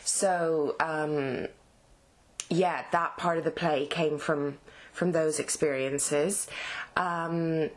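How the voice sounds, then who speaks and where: Woman, Dublin Irish accent, low voice, halting and casual, fillers and restarts. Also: steady tone. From Ireland. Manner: halting, many fillers and restarts, laughs between thoughts. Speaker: actress and interview guest. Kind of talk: casual interview answers.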